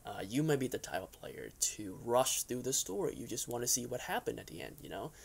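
A young man with noticeable vocal fry at an ordinary male pitch, hosting a casual vlog. He talks conversationally and loosely, wandering through his opinions and often checking in with the listener. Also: big pitch swings, fairly quick. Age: young adult. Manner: conversational, rambling, frequent "right?" and "you know" tags. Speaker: YouTube host. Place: furnished basement room, indoors.

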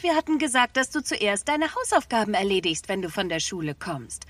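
A woman's voice: mid-range voice, very animated, brisk, no echo, recorded from a distance. A woman playing a strict but helpful mother in a children's audio drama. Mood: firm.

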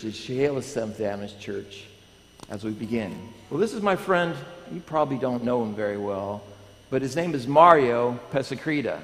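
A man in his thirties, a pastor, preaching in a lively, animated way and questioning his congregation. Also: medium pitch. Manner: animated, pacing the stage, asking the congregation. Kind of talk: lively sermon with prayer. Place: large church sanctuary. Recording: long church hall reverb.

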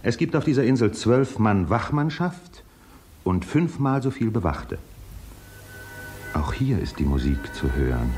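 A man's voice: on the low side, very animated, a little slow, pauses often, a little mellow. A man giving a literary reading as a wistful storyteller in a radio feature. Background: prominent music.

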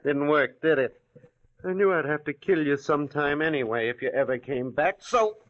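An actor playing a desperate, greedy small-town killer with excitable, folksy American speech in a 1940s radio drama. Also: male voice, fairly high pitch, normal speed, close to an everyday voice. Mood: gloating. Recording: muffled old radio recording.

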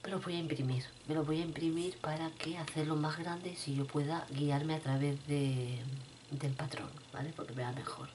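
A middle-aged woman talks to her webcam at home in a low voice. She has a cold and her throat is rough. Her chat is loose and repetitive, with a faint hum of room noise behind her. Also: steady tone, normal speed. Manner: chatty, repeats herself, addresses viewers fondly. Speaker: vlogger. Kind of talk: casual chat to viewers. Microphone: webcam at desk.

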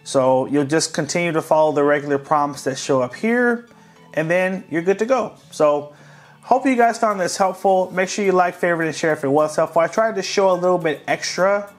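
A man hosting a tech tutorial, calmly narrating each step of a device reset in a casual, friendly way. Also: fairly high pitch, moderate pace, faint music behind. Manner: friendly, relaxed, walks viewers through each step.

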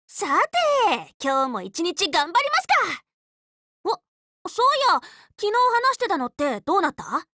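Anime game role: teenage magical girl, loyal tomboy leader, bright forceful tone, very lively intonation, dry close studio sound, frank and casual. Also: very high-pitched, a little slow, no room sound, full character voice. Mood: cheerful. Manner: casual, boyish, spirited, frank speech. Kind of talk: anime game character voice lines.

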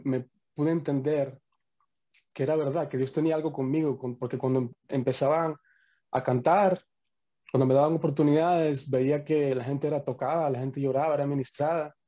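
A man's voice: on the high side, dark tone, highs cut off. A young man from the Dominican Republic, a worship musician, talking earnestly over a video call and often restarting his sentences. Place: small home room.

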